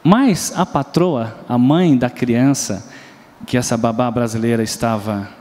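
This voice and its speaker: Brazilian male preacher, mellow tone, storytelling sermon, reverberant church hall. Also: medium pitch, unhurried, a little hiss or hum. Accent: Brazilian Portuguese. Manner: storytelling, asks the congregation questions, sings bits of nursery rhymes.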